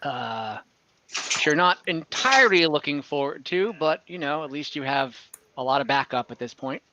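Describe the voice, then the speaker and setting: A man narrating an online tabletop game as game master, often pausing mid-sentence as he describes scenes. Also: a little high, animated, background noise. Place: indoor room, online call. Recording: dull, roomy home recording.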